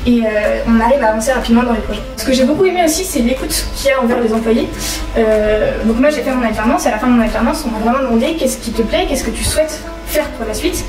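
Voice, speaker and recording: A woman in her twenties from Brittany, giving a casual workplace testimony with frequent hesitant pauses. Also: on the high side. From Brittany, France. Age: 20s. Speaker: company employee giving testimony. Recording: roomy, distant mic, background music.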